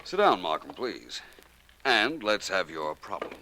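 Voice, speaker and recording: A man's voice: deep voice, big pitch swings, measured pace, bright, forceful tone, slight background noise, heavily acted. A man playing an urbane, witty, self-assured detective, suave and playfully pompous in 1940s radio drama style. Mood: suave, teasing.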